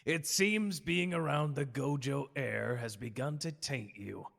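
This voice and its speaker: A man playing a stern, scheming older superior with a gravelly voice, curt, formal and condescending, in a dramatic audio roleplay. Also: medium pitch, unhurried. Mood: cold, disdainful. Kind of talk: dramatic ASMR character roleplay. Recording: long cavernous hall reverb.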